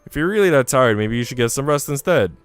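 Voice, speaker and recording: A man's voice: mid-range voice, animated, quick pace, clean tone, pitched above the performer's own voice, lightly acted. A man reading aloud a shy, prickly young maid from a visual novel, blunt and defensive, over music. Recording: music playing behind the voice.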